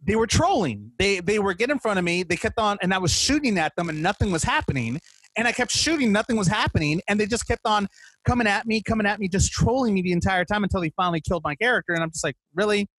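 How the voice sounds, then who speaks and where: High-voiced man chatting casually as podcast host. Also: expressive, fast talker, a bit bright, no echo, low-quality recording. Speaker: podcast host interviewing a guest. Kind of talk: casual chat about video games.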